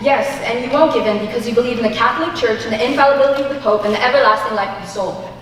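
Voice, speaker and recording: A woman's voice: a little high, very fast, a bit bright, lightly acted. A young woman playing an earnest, overwrought devoted daughter in a declamatory mock historical stage drama. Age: young adult. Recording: echoey auditorium, distant mic, faint noise.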